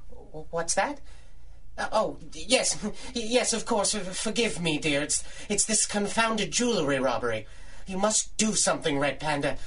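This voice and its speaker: A woman's voice: on the low side, expressive, measured pace, a little hiss or hum. Dithering, fretful woman scholar. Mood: anxious. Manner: dithering, stammering, old-fashioned formal diction. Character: fretful, scholarly female museum doctor, motherly. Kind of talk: 1930s-style radio drama acting.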